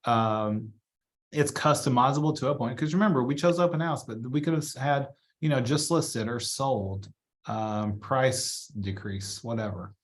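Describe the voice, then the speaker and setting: A man with a mid-pitched voice leads an informal training walkthrough. He talks in a chatty, casual way, thinking out loud as he moves through each step. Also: a little slow, slight background noise. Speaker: real estate trainer. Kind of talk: casual live software walkthrough.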